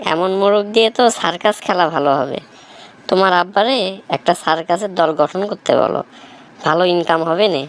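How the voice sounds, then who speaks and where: A woman's voice: lowish voice, natural voice. A woman voicing a grumbling, sarcastic young son-in-law in a comic cartoon, with a rural Bangladeshi accent. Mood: mocking. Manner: sarcastic, complaining.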